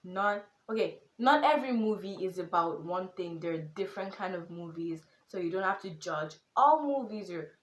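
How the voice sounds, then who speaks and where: A teenage girl with a Nigerian accent that carries hints of British and American, talking straight to camera in a casual vlog. Her voice sits fairly low for a girl, and she speaks with earnest, animated emphasis. The room around her is echoey. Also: normal speed, hardly any pauses. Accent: Nigerian, with some British and American. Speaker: content creator.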